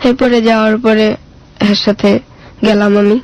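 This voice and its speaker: A woman with a colloquial Bangladeshi accent recounts personal experience in casual, plain speech, quoting conversations as she goes. Her voice stays quite monotone and has a marked creak. The recording sounds muffled and low in fidelity. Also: measured pace. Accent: Bangladeshi colloquial Bengali. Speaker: interview guest telling her life story.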